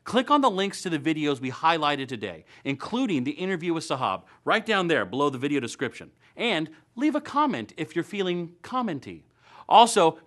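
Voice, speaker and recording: A man's voice: fairly high pitch, fairly bright tone. An American man in his thirties presenting a scripted show to camera with wry, playful asides. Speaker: web series host. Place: indoor studio.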